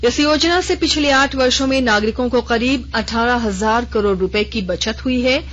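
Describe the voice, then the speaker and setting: A woman reading a regional radio news bulletin in a measured, formal delivery. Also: fairly high pitch, fairly bright tone. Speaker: newsreader. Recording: lo-fi radio broadcast.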